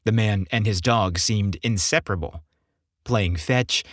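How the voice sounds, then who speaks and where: A man's voice: lowish voice, unhurried, no echo, studio quality. A man hosting and narrating a scripted story podcast with theatrical, dramatic phrasing.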